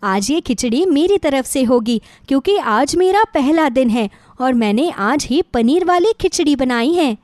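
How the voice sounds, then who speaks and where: Woman playing a high-voiced, kind young food seller. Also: animated, unhurried, hardly any pauses, darkish tone, no room sound, clean studio sound. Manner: sweet, polite, eager. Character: kind, cheerful young daughter selling khichdi.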